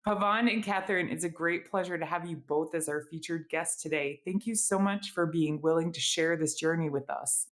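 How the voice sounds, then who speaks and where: A woman's voice: sits low, normal speed, hardly any pauses. A woman hosting an interview podcast, introducing guests politely and asking questions with "you know" fillers. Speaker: podcast host.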